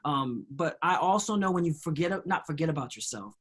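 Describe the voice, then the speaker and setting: A male host with a high-pitched voice leads an inspirational talk show over a video call. He speaks in an encouraging, faith-filled way. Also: expressive, a little fast, fairly bright tone, husky.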